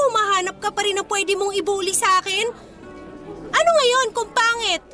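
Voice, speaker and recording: A Filipino woman playing a poor, proud and kind schoolgirl in an emotional, earnest radio drama style. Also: very high voice.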